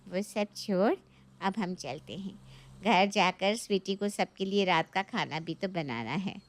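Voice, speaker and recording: A woman's voice: lowish voice, a little slow, natural voice. A woman playing a proud, affable older mother-in-law and aunt in a moral story, with a matronly manner. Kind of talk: animated moral story dialogue.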